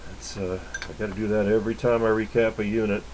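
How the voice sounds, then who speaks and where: A man with a low voice talks casually through hands-on electronics work. He is unhurried and often mutters to himself as he goes. Faint noise sits behind him in an indoor workshop recording. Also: little pitch movement. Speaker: electronics repair hobbyist, channel host. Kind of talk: casual hands-on workbench demonstration.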